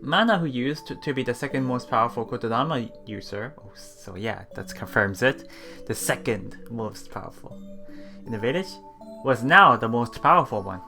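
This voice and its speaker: Let's play streamer, mid-range pitch, reading story text, murmured asides, music behind. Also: expressive, moderate pace, sharp tone. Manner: rereads lines after stumbles, murmured reactions between read passages. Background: game music.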